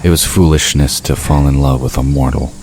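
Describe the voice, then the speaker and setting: Male narrator, extremely low voice, scripted fantasy storytelling, faint night-insect noise behind. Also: steady tone, brisk, darkish tone.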